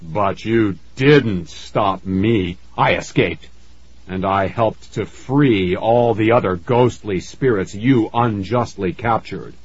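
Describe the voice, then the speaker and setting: A man's voice: lowish voice, slow pace, slightly rough, no room sound, lo-fi sound. A man voicing a vengeful male ghost villain in a children's horror audiobook, menacing and declamatory. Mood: cold menace.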